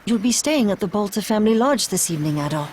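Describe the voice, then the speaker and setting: An actress playing a polite, gentle household servant in a video game, speaking with formal courtesy. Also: female voice, medium pitch, lively intonation, fast talker, slight background noise, lightly acted. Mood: welcoming, polite. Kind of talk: video game cutscene acting.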